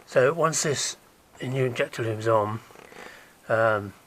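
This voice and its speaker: Croaky guy doing a diy car fix in his garage, chatty, mutters to himself while working, bit of faint noise behind. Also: mid-range voice, slow pace, fairly bright tone. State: croaky, recovering from illness. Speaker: DIY car repair vlogger. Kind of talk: casual hands-on how-to tutorial.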